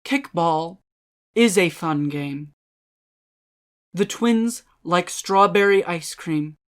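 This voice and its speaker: A woman giving a scripted spooky dramatic reading, ominous and deliberate, with measured dramatic pauses. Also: fairly low pitch. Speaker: dramatic reader.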